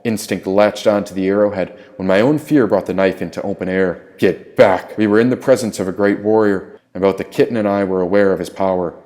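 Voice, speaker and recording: A man's voice: deep voice, fairly even intonation, quick pace, few pauses, darkish tone. A man reading an audiobook as a dramatic first-person story narration. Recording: echoey, long reverb tail.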